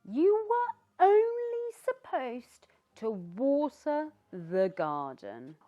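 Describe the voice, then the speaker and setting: A woman as a shrill wailing storybook witch. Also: sing-song, extremely slow, muffled recording, soft background music, exaggerated voice. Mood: dismayed wailing. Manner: shrill, exclamatory scolding. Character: lovely female witch scolding her cat, wailing.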